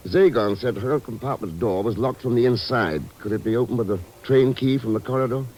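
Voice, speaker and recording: An actor playing a cool, unflappable investigator with a dry, clipped manner, in old radio drama style. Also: male voice, mid-range voice, fairly quick, a little mellow, lightly acted. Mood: cool, probing. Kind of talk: 1950s radio drama acting. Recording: noisy vintage radio recording.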